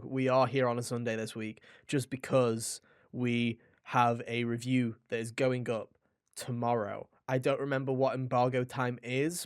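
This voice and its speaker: A man's voice: mid-range voice, no echo. A man hosting a podcast in a casual, chatty way, with a jokey, mock-dramatic manner, close to the microphone. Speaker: podcast host.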